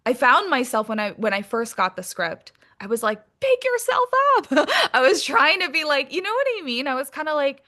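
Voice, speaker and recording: A woman with a very bright, forward voice gives a candid interview. She talks informally and with animation, in a slightly high register, like someone chatting casually. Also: moderate pace, few pauses. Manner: animated, informal, peppered with "like" and "you know". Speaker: interview guest, actress.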